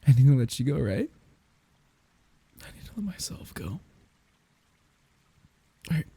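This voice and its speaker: A man performs a mourning male lover in an intimate ASMR roleplay, saying goodbye at a graveside. The voice is very dark and soft, heavily breathy and husky, with a creaky edge. He speaks haltingly and tenderly, often sinking toward a whisper. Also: medium pitch, sing-song, exaggerated voice. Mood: resigned, tender. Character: grieving man saying farewell at his dead lover's grave.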